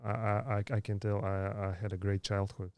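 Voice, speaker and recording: Low mellow-voiced man in his thirties. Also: completely flat delivery, clear, resonant tone, no echo. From Kazakhstan. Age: 30s. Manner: halting, many fillers and restarts. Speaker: podcast guest. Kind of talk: casual interview storytelling.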